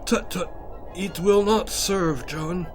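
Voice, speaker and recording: A man's voice: high-pitched, takes it slowly, slight background noise. A man playing an ancient, weary, dutiful wizard in an audio drama, speaking in formal, grandly archaic phrases. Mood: grave. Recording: music playing behind.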